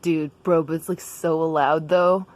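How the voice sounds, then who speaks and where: A woman's voice: sits low, fairly even intonation, unhurried, darkish tone. A woman playing a laid-back, blunt schoolgirl slacker in a comic story, slangy and casual, with a drawling delivery. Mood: casual, coaxing.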